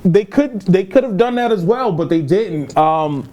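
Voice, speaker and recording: A man with a fairly high voice co-hosts a casual pop-culture review show. He talks like a keen fan with firm opinions, in an informal back-and-forth style. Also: expressive, a little slow, mellow, a little hiss or hum. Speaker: podcast co-host.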